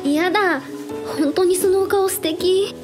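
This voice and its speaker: A woman playing a sweet, flattering young female idol in a radio drama, polite and demure. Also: extremely high pitch, slow pace, music in the background.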